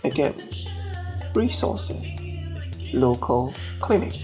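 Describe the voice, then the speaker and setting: A woman storyteller with a gravelly voice tells a folktale at a slow, deliberate pace. Her phrasing is emphatic and measured, with dramatic pauses, over a clear bed of music. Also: very animated. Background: ambient marimba, xylophone and low nature sounds.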